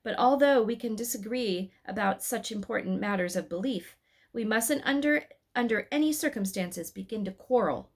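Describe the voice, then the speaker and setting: A woman voicing a patient male angel guide in a storybook, explaining things in a measured, instructive way. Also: mid-range voice, close to an everyday voice. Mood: conciliatory.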